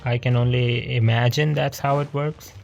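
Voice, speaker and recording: A man's voice: mid-range voice, fairly even intonation, moderate pace, gravelly. A man giving casual commentary as he plays a video game, reacting in short muttered phrases. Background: game music.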